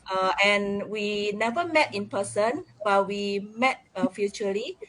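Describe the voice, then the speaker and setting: A woman from Indonesia introduces herself warmly on a live video call. Her speech is loose and friendly, with frequent fillers. Her pitch sits in a middle range. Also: unhurried, poor audio. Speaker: quiz participant. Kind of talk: casual self-introduction.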